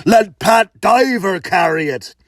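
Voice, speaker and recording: A man voicing a huge, rough, defiant bully in a folktale, curt, loud and barking. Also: high voice, animated, slow, no room sound, clean studio sound, full character voice.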